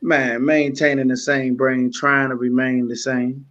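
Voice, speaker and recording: A man's voice: medium pitch, flat delivery, unhurried, fairly bright tone, muffled recording. A middle-aged or older man with a Washington DC American accent, a comedian guest joking casually in slangy, wisecracking talk. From Washington DC, United States.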